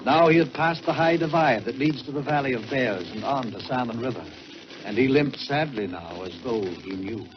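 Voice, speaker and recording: An American man narrating in a dramatic 1940s radio style, with measured, theatrical delivery and drawn-out words. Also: medium pitch, animated. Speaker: storyteller and radio host. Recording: dull vintage 1940s radio, music behind.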